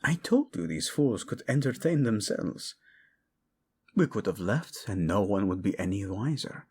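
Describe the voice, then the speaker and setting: A man's voice: on the low side, lively intonation, a little slow, slightly rough. A man acting a passionate, protective male royal in a romantic audio drama, devoted to his queen, intimate and commanding, using Spanish endearments. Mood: tender, teasing. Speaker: voice actor. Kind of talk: romantic audio roleplay drama addressed to the listener.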